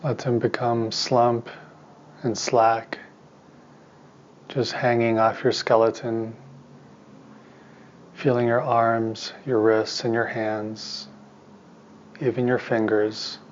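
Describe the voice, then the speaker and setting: Man leading a guided meditation, even monotone. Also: medium pitch, takes it slowly, long pauses, muffled recording, slight background noise. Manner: calm, soothing instruction in short, spaced phrases. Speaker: meditation teacher and therapist.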